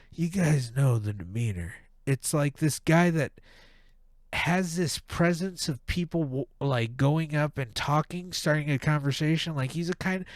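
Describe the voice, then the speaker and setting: A man with a blocked, nasal voice rants heatedly, swearing as he goes. He talks at an unhurried, drawn-out pace. The sound is close and dry, with no room echo. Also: medium pitch, a little mellow. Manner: profane, heated ranting. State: stuffed-up nose, nasal. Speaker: podcast host. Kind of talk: casual ranting monologue.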